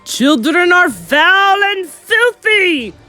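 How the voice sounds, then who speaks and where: A woman's voice: sits low, faint music behind. A woman voicing a tyrannical, venomous witch in a children's audiobook, screeching and thundering her commands. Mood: screaming disgust. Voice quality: screeching, harsh. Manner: thunderous, drawn-out, commanding, broken foreign-style grammar. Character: tyrannical, venomous Grand High Witch, female villain.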